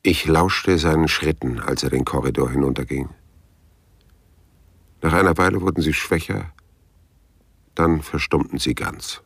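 An actor playing a world-weary, brooding private detective in a radio crime drama, gravelly and laconic. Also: male voice, a bass voice, a little slow, long pauses, fairly bright tone, heavily acted. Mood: wistful narration. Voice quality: gravelly, husky. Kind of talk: hard-boiled radio drama dialogue and narration.

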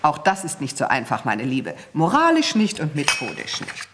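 A woman's voice: low voice, huge pitch swings, normal speed, background noise. Prim older lady, swooping intonation. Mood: admonishing. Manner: theatrical, precise, mannered delivery. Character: prim older genteel lady, motherly and admonishing, amused by scandal. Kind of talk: German radio play acting, reading a newspaper aloud and conversing.

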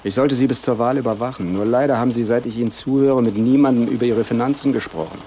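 A man's voice: medium pitch, fast, mellow, slight background noise, natural voice. An actor playing a cynical hired spy and hitman in a satirical radio play, speaking in a dry, matter-of-fact way.

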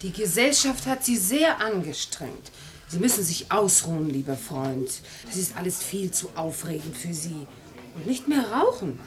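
A woman's voice: lowish voice, sing-song, normal speed, crowd noise. An actress in a German radio play playing a solicitous, managing society lady companion. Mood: caring, commanding.

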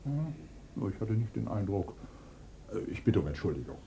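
An actor playing an older police inspector in a radio crime play, sardonic and probing, with hesitant, feigned politeness. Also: male voice, on the low side, big pitch swings, moderate pace, warm tone, husky, exaggerated voice. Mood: politely probing. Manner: hesitant, probing, dryly teasing.

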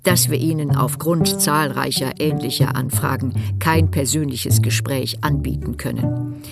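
An actress playing a dismissive, formally polite European official, reading letters aloud in a bureaucratic manner for a German radio play. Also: female voice, rumbling low, measured pace, gravelly, full character voice. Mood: coolly polite. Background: prominent music.

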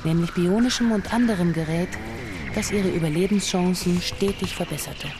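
A woman's voice: on the low side, normal speed, music in the background, lightly acted. A woman narrating a radio drama as a composed storyteller, reading scripted literary prose. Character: composed female storyteller of a science-fiction saga.

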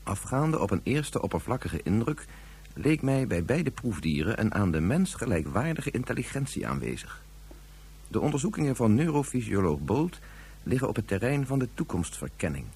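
A man playing a space investigator who dictates an official report in a radio play, formal and measured. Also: mid-range voice, big pitch swings, a little mellow, breathy edge, exaggerated voice. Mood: formal, matter-of-fact. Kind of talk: scripted report in science fiction radio play.

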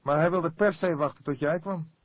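Man voicing a high-pitched, apologetic young detective. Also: normal speed, no echo, close to an everyday voice. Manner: apologetic, earnest. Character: young male police detective, eager, apologetic junior colleague. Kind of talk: Dutch audiobook character voice.

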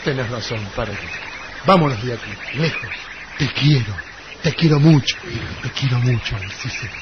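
A man's voice: medium pitch, normal speed, lightly acted. A man playing a young lover, tender and scornful by turns, acting dramatically in a radio melodrama. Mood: tender, urgent. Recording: muffled lo-fi radio, noisy background.

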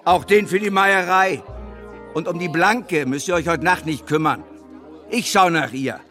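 Older farm employer: higher-toned, gruff yet kindly, radio drama with faint music. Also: male voice, unhurried, a bit bright. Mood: gruff, caring. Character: grumbling but caring farm boss, older man. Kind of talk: German radio drama acting.

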